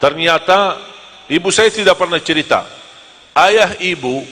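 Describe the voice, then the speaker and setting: A man from Medina gives a sermon with jokes and lively stories. His voice is bright and forceful and sits a little high for a man. It rings out with a long reverb, as in a large hall. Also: unhurried. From Medina, Saudi Arabia. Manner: joking, lively storytelling. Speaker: preacher. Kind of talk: humorous sermon to a live audience.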